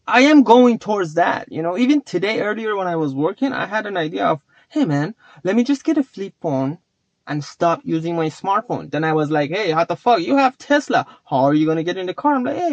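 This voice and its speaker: A man speaking English as a non-native tongue, rambling and philosophizing with plenty of swearing as he addresses his chat. His voice sits high, and the sound is dry and dull, as if captured on a lo-fi mic. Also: expressive, a little fast, dry recording. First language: not English. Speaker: vlogger. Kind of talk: rambling casual vlog talk.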